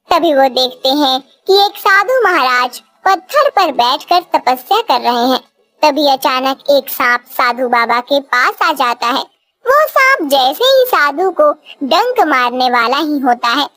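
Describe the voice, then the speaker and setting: A woman's voice: high-pitched, bright, forceful tone. A woman narrating a scripted cartoon story.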